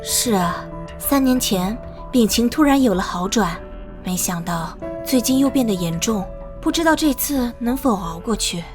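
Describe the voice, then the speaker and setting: Woman playing a polite, higher-voiced school helper. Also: lively intonation, normal speed, music in the background, close to an everyday voice. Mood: worried. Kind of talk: Chinese animated drama dubbing.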